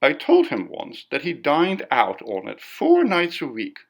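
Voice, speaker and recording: A man's voice: fairly high pitch, wildly swooping pitch, measured pace, creaky, voice pushed up, heavily acted. A man voicing a chatty society hostess in an audiobook, fond, gushing and exclamatory in an affected way. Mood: fond, curious. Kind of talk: audiobook character dialogue.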